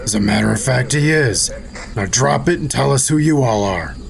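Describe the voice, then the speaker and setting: An actor playing a patient, level-headed soldier and mentor in an audio drama. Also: male voice, medium pitch, expressive, normal speed, gravelly, crowd noise. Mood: firm.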